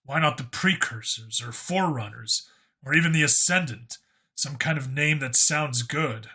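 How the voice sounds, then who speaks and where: A wisecracking human cop in a science fiction audiobook, acted by a man. His voice is mid-pitched and forceful, with a casual, grumbling delivery. He sounds irreverent and sarcastic. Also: moderate pace, husky.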